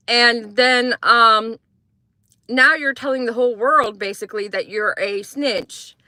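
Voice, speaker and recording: A woman's voice: on the high side, sharp tone, no echo. A young woman, from Croatia, hosting a casual morning true-crime livestream, chatting and answering viewers as she rambles through updates.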